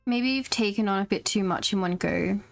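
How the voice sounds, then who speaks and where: A woman's voice: mid-range voice, a little fast, vocal fry. Chatty woman streaming a video game. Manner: chatty, informal asides to viewers. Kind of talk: casual live gameplay commentary. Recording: game music behind. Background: video game music.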